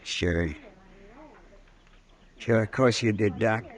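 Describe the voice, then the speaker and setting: A grieving frontier teamster in an old-time radio western. He has a low rural American voice and a blunt, unpolished way of speaking. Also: takes it slowly. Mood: numb, grieving.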